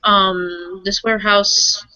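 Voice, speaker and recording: A woman talks casually as a player in a roleplaying game. Her voice is sharp and bright at an average pitch. The sound is very dry, with a slightly muffled online quality. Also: fairly even intonation, slow pace, no room sound. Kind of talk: casual in-character game dialogue.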